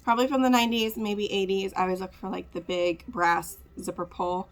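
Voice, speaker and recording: A woman with a congested, raspy voice, chatting casually as she packs orders to ship at home, rambling with lots of fillers. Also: medium pitch, level tone, a little fast. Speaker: part-time online reseller. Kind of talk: casual ship-with-me vlog chat.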